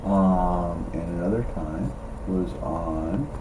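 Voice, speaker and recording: Low-voiced man, distant and noisy recording. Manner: rambling, halting, pausing mid-thought. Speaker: amateur radio operator presenting own log data. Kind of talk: casual thinking out loud, screen demo. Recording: distant mic, clear background noise.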